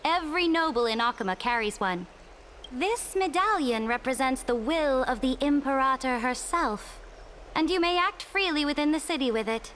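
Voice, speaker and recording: A woman's voice: sits high, lively intonation, normal speed, a bit bright, slight background noise. A woman playing an imperious, pompous empress who speaks formally and commandingly in a dramatic video game. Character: imperious, haughty female Imperator who calls allies her subjects. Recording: music behind the voice.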